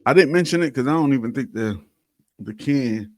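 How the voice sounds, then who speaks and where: Male podcast co-host, mid-pitched, slangy casual banter, very dry close recording. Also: lively intonation, normal speed, no echo. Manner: informal, slangy, swears casually. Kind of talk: casual banter and beer tasting chat.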